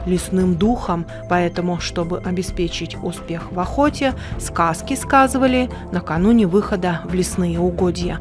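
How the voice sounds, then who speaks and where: A woman's voice: lowish voice, normal speed, hardly any pauses, music in the background. A woman reading scripted documentary narration as the narrator of a television programme.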